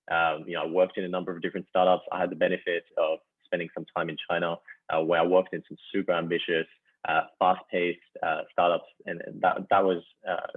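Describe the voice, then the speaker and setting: Halting young man on a tinny line. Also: deep voice, no room sound. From France. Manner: halting, full of "you know" fillers. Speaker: startup founder guest. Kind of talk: casual podcast interview. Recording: dry, tinny phone-like call line.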